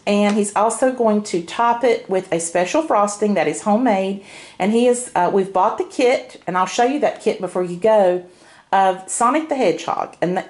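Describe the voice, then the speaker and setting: An older woman speaks in a middle-pitched voice. She chats casually and explains as she goes, in the manner of a home vlogger. She is recorded indoors from behind a handheld camera. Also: a little mellow. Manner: chatty, explanatory. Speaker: homemaker vlogger. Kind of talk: casual grocery haul chat. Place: indoors at home, by a dining table.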